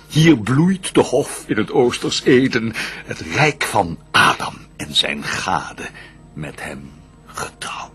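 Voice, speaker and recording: An actor playing the proud, vengeful fallen prince of hell in a radio drama, declaiming verse in a grandiose, brooding, scheming manner. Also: male voice, deep voice, vocal fry, bright, forceful tone, exaggerated voice.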